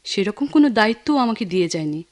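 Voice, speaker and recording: An actress with a Bangladeshi accent playing a caring, persistent young family friend in a radio drama, with earnest, emphatic theatrical delivery. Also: female voice, mid-range voice, sing-song, quick pace, darkish tone, close to an everyday voice. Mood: earnest, insistent. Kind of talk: Bengali radio drama acting.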